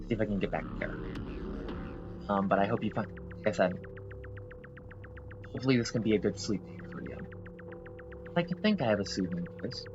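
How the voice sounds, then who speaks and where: A man's voice: on the low side, expressive, brisk, pauses often, muffled recording, over music. A man rambling casually and apologetically while playing a video game, full of fillers and restarts. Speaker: gameplay video host. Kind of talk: rambling casual commentary over gameplay.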